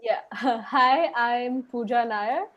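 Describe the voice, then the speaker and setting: A woman's voice: on the high side, very slow, muffled recording. A young woman from India, a student panelist, giving a webinar talk with many hesitant fillers. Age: young adult. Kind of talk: webinar presentation.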